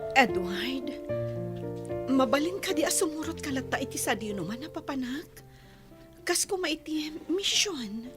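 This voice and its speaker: A woman playing a gentle, worried young lady in an Ilocano radio drama, soft and earnest. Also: high-pitched, sing-song, unhurried, long pauses, music in the background. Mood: tender, evasive.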